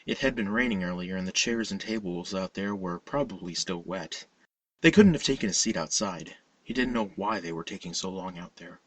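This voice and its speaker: A man reading a scripted horror story as narrator, in a measured, dramatic storytelling manner. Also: low-pitched, no echo, recorded from a distance, poor audio. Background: music.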